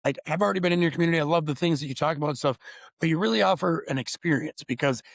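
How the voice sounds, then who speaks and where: A man's voice: on the high side, racing, vocal fry, dry recording, studio quality. A man from Michigan, hosting a podcast in an enthusiastic, effusive, rambling way on a remote call. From Upper Peninsula of Michigan, USA. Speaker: podcast host. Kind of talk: casual interview chat.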